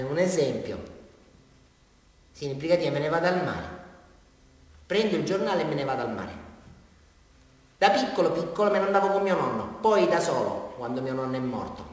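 A man's voice: fairly high pitch, close to an everyday voice. An actor playing a rambling, unhinged man with a southern Italian accent confessing a crime in a theatrical radio monologue. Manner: rambling, repetitive, addressing a police officer, swinging from muttering to outbursts. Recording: very echoey hall, far from microphone.

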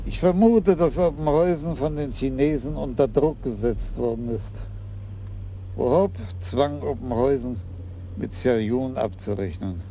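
An actor as a shrewd, calm police chief. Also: male voice, mid-range voice, measured pace, background noise, close to an everyday voice. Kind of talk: radio play acting.

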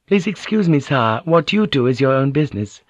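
A man's voice: mid-range voice, very animated, brisk, no room sound, muffled recording, voice pushed up. Man voicing a formal, unyielding noble samurai lady. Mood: formal, resolute. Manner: formal, courteous but commanding.

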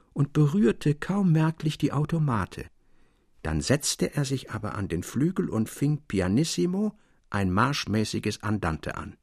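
A man narrates classic literature with a husky, creaky voice at a medium pitch. His storytelling is expressive and measured, in the manner of a radio reading, and the sound is very dry. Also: no room sound. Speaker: audiobook reader. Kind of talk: literary scripted narration for German public radio.

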